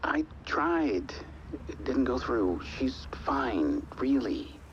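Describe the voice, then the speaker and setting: A man's voice: medium pitch, big pitch swings, extremely slow, muffled recording, slight background noise. An actor playing an affectionate, soothing husband and father in a naturalistic, casual video game drama. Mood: reassuring.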